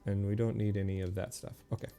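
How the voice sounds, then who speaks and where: A man giving casual video game commentary, thinking aloud in a relaxed, muttering way as he makes decisions. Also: on the low side, quick pace, soft background music.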